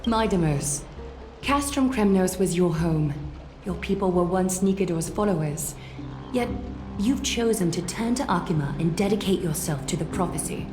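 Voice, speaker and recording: A woman voicing a solemn, authoritative demigod leader in a video game, speaking formally and with measured command. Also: lowish voice, natural voice. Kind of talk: English video game voice acting. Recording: echoey, music underneath. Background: game music.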